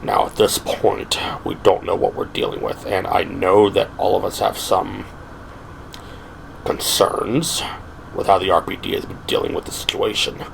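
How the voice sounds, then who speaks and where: A man voicing a cool, unreadable squad captain in an audio drama, speaking in a hushed, clipped, deliberate way. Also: low voice, flat delivery, creaky, slight background noise, low-quality recording. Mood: low, grave.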